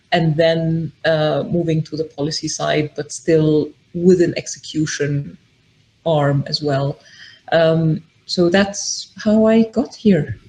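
A middle-aged woman from Egypt speaks as a podcast guest. Her talk is measured and explanatory, and she pauses often on ums as she lays out her thoughts. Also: sits low, dark tone, rough recording. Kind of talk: casual interview talk.

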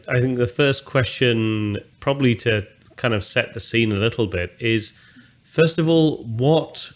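A man hosting an interview, asking long, rambling questions full of fillers. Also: mid-range voice. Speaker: interview host.

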